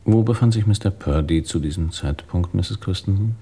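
A sober investigator in a radio play speaks in a low, husky voice. He is terse and probing, with a dark tone and little ornament. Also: normal speed. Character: sober male police investigator questioning a witness. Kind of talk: German radio drama acting.

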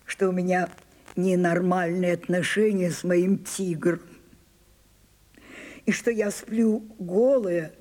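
A woman's voice: on the low side, fairly even intonation, slow pace, long pauses, mellow. An older woman playing an imperious, weary and capricious old French actress in a Soviet radio drama, theatrical and commanding. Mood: theatrical, insistent.

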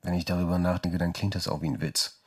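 A man's voice: low-pitched, flat delivery, quick pace, husky. A man playing an evasive, sardonic lover in naturalistic radio drama dialogue, dodging with dry mockery. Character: evasive, sardonic lover stuck in a small town.

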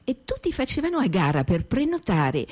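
A woman's voice: a little high, wildly swooping pitch, brisk, darkish tone. An actress playing a woman fondly recalling theatre days in scripted radio narration. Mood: fond recollection. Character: reminiscing woman, a fond witness of theatre days. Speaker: stage performer narrating theatre memories. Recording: clear music bed behind the voice. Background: music.

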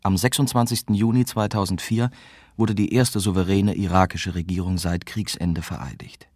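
An actor playing a young German journalist, narrating a radio drama in a reflective, bitter way. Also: male voice, sits low, fairly even intonation, normal speed, darkish tone, no room sound, clean studio sound. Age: young adult.

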